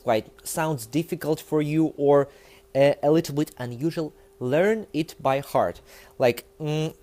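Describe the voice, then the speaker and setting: Animated Russian-accented man. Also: a little high. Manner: animated and emphatic, with many fillers. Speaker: language learning vlogger. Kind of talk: casual talk to camera.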